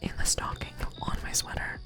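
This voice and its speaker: A woman live streamer whispering softly to her viewers, playful and giggly, rambling with many fillers, close to the microphone. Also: normal speed. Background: music playing.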